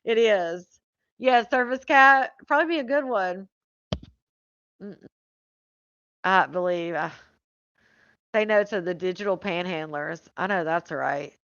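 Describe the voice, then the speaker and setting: A woman's voice: fairly low pitch, measured pace, long pauses, a bit bright, dry recording. A woman hosting a livestream, talking loosely with her viewers and answering their comments as they come in. Her voice sounds a little worn after hours on air. The audio is dry and slightly dull, like a home streaming mic. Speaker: live stream host. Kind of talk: casual chat with live viewers.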